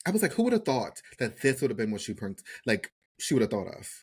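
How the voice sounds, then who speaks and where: A man's voice: medium pitch, big pitch swings, quick pace, slightly rough. A man co-hosting a casual recap podcast, talking in a slangy, emphatic way with free swearing and repeated phrases. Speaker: podcast co-host. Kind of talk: casual chat, TV show recap.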